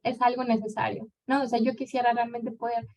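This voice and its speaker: A woman in her thirties from Mexico with a Mexican accent, answering questions as a guest lecturer in a relaxed, conversational way. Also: a little high, brisk. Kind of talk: conference Q&A answer.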